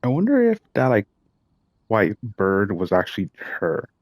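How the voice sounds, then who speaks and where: A man's voice: lowish voice, huge pitch swings, a little slow. A man giving casual, offhand gameplay commentary, muttering as he plays. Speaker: game streamer.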